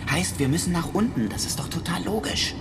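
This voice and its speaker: An actress playing an anxious, irritable person trapped in a strange place, in a naturalistic radio drama, hesitant and intense. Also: female voice, lowish voice, animated, brisk, lightly acted. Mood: insistent. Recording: clear background noise.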